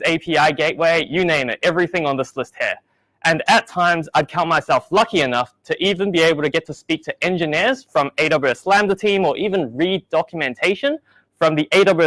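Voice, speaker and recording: Slightly high-voiced young man giving a tech talk. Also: fairly bright tone, no echo, rough recording. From New Zealand. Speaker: meetup speaker.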